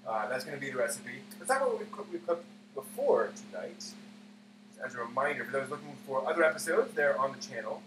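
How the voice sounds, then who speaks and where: A man hosting a casual cooking live stream, explaining steps haltingly as he thinks aloud. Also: mid-range voice, expressive. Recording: roomy, far mic, clear background hum.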